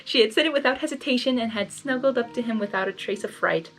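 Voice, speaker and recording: A woman's voice: a little high, fast talker, fairly bright tone, soft background music. A woman reading a story aloud, with candid, rambling and informal personal asides. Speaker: fan fiction reader and commentator.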